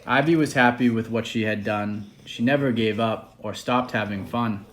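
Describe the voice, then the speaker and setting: A man's voice: on the low side, moderate pace, a bit bright. Man reading a children's story aloud. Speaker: author reading his picture book.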